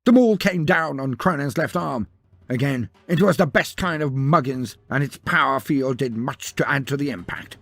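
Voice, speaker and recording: A man's voice: mid-range voice, expressive, normal speed, creaky, fairly bright tone, no room sound. A man narrating a scripted fantasy tale in a theatrical, florid and wry manner. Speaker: storyteller narrator.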